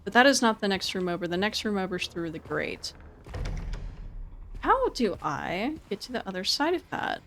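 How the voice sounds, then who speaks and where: A woman's voice: medium pitch, big pitch swings, normal speed, fairly bright tone, a little hiss or hum. A woman giving casual live game commentary and chatting with viewers, informal and prone to repeating her words and phrases. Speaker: game streamer.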